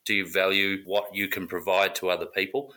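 A man's voice: low-pitched, flat delivery, brisk, pushed and bright. A man from New Zealand who grew up in South Africa, a corporate pilot answering interview questions conversationally. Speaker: corporate pilot, podcast guest.

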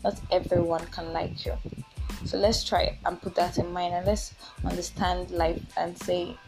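A woman in her twenties with a Nigerian accent, talking informally as a solo podcast host in a chatty, halting, repetitive way. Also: fairly low pitch, flat delivery, creaky, over music. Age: 20s.

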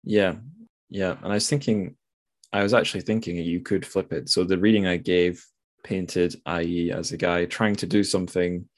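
A man's voice: sits low, steady tone, normal speed. A man hosting a casual literary discussion, thinking aloud and correcting himself as he goes. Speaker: podcast host.

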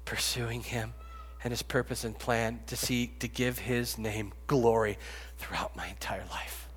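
A man in his fifties preaching a sermon, rising to loud pleading and dropping to hushed whispers. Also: medium pitch, steady tone, a little slow, slightly rough. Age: 50s. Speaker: pastor. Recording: long reverb, echoey hall.

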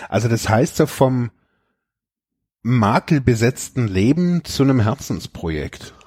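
A man's voice: mid-range voice, expressive, unhurried, darkish tone, slightly rough, dry recording, studio quality. A man interviewing a guest, giving short replies and asking follow-up questions. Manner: brief backchannel replies, probing questions. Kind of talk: conversational interview.

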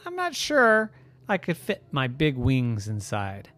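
A storyteller voicing a big, very polite dragon who speaks in a gentle, courteous way. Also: mid-range voice, wildly swooping pitch, takes it slowly, dry recording, full character voice.

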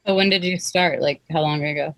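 A woman's voice: sits low, fairly quick, fairly bright tone, no room sound. A woman chatting casually, chipping in with short questions and teasing remarks. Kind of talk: casual chat.

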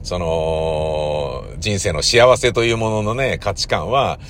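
A man hosting a casual solo podcast, talking in halting, drawn-out phrases that keep checking for agreement. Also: low voice, fairly bright tone, a little hiss or hum. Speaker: host of a regular podcast, radio drama director.

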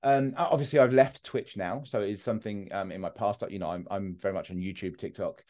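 Blunt man, low mellow voice. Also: fairly even intonation, quick pace. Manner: blunt, rambling, self-deprecating. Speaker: mental health content creator. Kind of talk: candid conversational interview.

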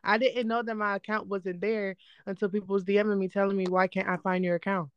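A young woman with a slightly hoarse but smooth voice, recorded close and dry with a slightly muffled, dull tone. She chats casually and quickly, playful and a little defensive, like she's arguing with her brother. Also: mid-range voice, little pitch movement, dry recording. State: under the weather, slightly hoarse. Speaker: podcast co-host. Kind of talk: casual sibling podcast chat. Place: apart from her co-host, remote recording.

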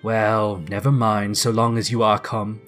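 A man's voice: lowish voice, little pitch movement, a little slow, fairly bright tone, faint music behind, voice pushed down. A man voicing a bustling, affectionate, homely working-class mother in a storybook reading. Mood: affectionate, fussing. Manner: fussing, homely.

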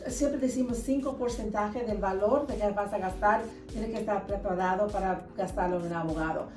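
A woman's voice: medium pitch, moderate pace. A woman in her fifties from the United States answering interview questions conversationally as a lawyer, dropping English words into her Spanish. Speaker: lawyer, podcast guest. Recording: echoey room, distant mic, music.

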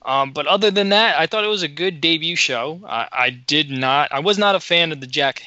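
A man co-hosting a podcast, talking in a halting way that repeats words as he thinks aloud. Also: a little high, pushed and bright, no room sound. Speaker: podcast co-host. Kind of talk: casual podcast chat. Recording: dull lo-fi podcast audio.

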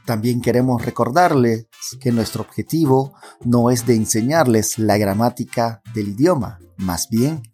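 A man from Peru with a Peruvian Amazonian accent, hosting a podcast for Spanish learners in a welcoming, measured way. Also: mid-range voice, over music. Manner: welcoming, measured, with some pauses and fillers. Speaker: podcast host.

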